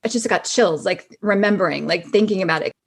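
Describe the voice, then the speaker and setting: A woman's voice: mid-range voice, brisk, gravelly, husky. Podcast guest, female, forties, mid-range voice. Informal, rambling, giggly conversational reminiscing. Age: 40s. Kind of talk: casual chat, reminiscing.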